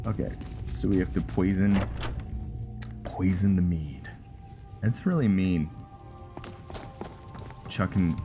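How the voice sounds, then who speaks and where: A man giving casual gameplay commentary in a halting, muttering way to himself. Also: deep voice. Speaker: gaming video host. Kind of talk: casual gameplay commentary and roleplay, reading in-game text aloud. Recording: loud music behind the voice. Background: loud game music.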